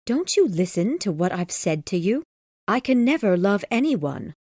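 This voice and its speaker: A man voicing a cold, proud young woman in a storybook reading, speaking calmly and without feeling. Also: high-pitched, moderate pace, no echo, clean studio sound, voice pushed up, exaggerated voice.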